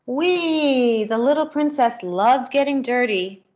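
A woman's voice: high-pitched, slow, a little mellow. A middle-aged woman, a teacher, doing a children's read-aloud at home on a webcam mic. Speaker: school teacher reading aloud. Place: bright room at home. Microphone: built-in webcam mic at arm's length. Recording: dull lo-fi webcam sound.

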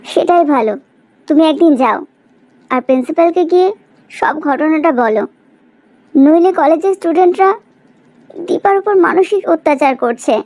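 A woman's voice: sits high, expressive, moderate pace, long pauses, a little mellow. A woman playing a concerned, caring mother in a cartoon drama, speaking anxiously and earnestly. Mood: worried. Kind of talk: animated cartoon drama dialogue.

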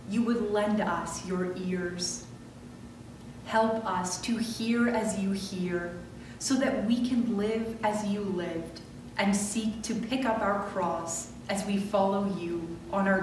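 A woman pastor leading prayer and worship in a measured, reverent way. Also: medium pitch. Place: medium-sized church sanctuary, indoors. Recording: echoey church, distant mic, clearly noisy.

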